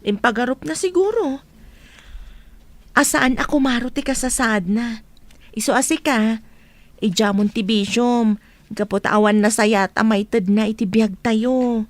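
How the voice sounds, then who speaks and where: An actress plays a gossipy, sympathetic village woman in an Ilocano radio drama. Her voice is fairly high and recorded dry and close. She has an Ilocano accent. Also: a little slow, long pauses, no echo, close to an everyday voice. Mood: chatty, concerned. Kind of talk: Filipino radio drama acting.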